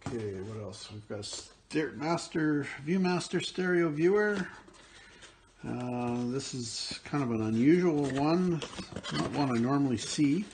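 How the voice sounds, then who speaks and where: A man talks slowly and loosely over a pile of old finds, thinking aloud and trailing off. His voice sits in a middle register. The home recording carries a clear layer of background noise. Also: medium pitch. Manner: relaxed, rambling, thinking aloud with fillers. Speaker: picker and vlogger. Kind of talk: casual unboxing commentary. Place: indoors at a table, off camera.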